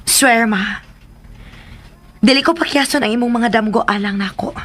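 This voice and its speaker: An actress playing an eager, dutiful young student daughter in a Filipino radio drama, with a Filipino accent. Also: female voice, on the high side, normal speed, a bit bright. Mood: eager, reassuring.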